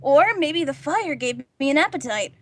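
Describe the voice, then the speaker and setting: A woman's voice: high-pitched, expressive, moderate pace. A woman playing a cheeky, eager boy sidekick in an old-time radio drama. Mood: playful, cheeky.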